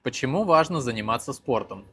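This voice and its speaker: A man acting as interviewer, reading out short questions in a plain, even way. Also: mid-range voice, brisk, slightly rough.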